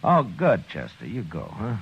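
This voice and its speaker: An actor playing a weary, gruff but protective marshal in an old-time radio western. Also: male voice, fairly low pitch, wildly swooping pitch, normal speed, full character voice. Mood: weary, irritable. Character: weary, gruff frontier town marshal, protective of his friend. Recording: noisy, muffled vintage radio recording.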